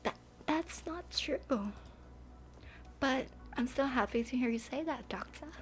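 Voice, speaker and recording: A woman voicing a gentle, caring young female game character in a soft, breathy voice for a fan dub. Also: high-pitched, a little slow. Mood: touched. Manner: gentle, earnest.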